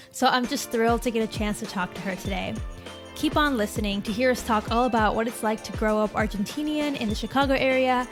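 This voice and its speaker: Chatty high-voiced woman podcast host. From Chile. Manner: warm, chatty, lots of "like" and "um". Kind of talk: casual interview chat.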